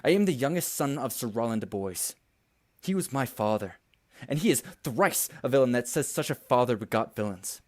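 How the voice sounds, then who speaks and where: A man's voice: mid-range voice, expressive, moderate pace, a little mellow, close to an everyday voice. Guy playing a wronged young noble in a shakespeare audio play, impassioned, declaiming, defiant. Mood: angry, defiant. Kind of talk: Shakespearean radio play acting.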